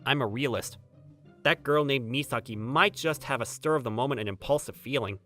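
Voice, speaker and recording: A man's voice: medium pitch, fairly quick, a bit bright, faint music behind, natural voice. A man playing an aloof, blunt but kind teenage boy gamer in a manga dub, with an offhand delivery. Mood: dismissive. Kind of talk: manga dub acting with scripted first-person narration.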